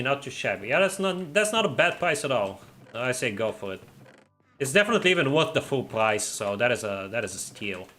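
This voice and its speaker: A man streaming a game and chatting casually with viewers, making his R sounds in the throat. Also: medium pitch. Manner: relaxed, reads and answers chat while playing. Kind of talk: casual livestream chat.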